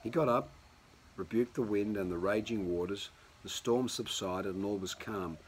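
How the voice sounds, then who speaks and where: A man, slightly hoarse, giving a conversational sermon recorded at home, often restarting his sentences. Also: on the low side, normal speed, slight background noise. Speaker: pastor.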